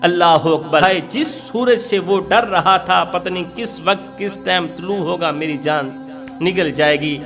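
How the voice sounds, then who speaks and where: A man's voice: high-pitched, moderate pace, music in the background. A man preaching an emotional Islamic moral story, dramatically, with emphatic repetition.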